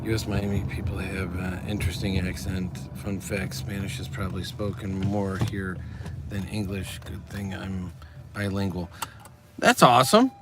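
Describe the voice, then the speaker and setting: A man's voice: low-pitched, steady tone, moderate pace, background noise. A middle-aged man hosting a casual livestream from a car, reading out viewer comments in a chatty, upbeat way and laughing easily. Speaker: livestream host.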